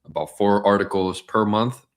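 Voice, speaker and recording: A man with a very deep voice co-hosting a business podcast. He is hoarse, close to losing his voice, and rambles through his points with many fillers and restarts. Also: normal speed. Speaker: podcast co-host. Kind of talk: casual business podcast chat. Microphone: studio mic.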